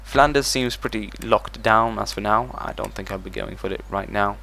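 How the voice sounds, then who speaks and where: A man's voice: on the low side, moderate pace, gravelly, sharp tone, slight background noise. A man hosting a let's play, talking through his strategy game moves as he thinks them over. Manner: thinks aloud while managing a strategy game. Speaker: gaming channel host.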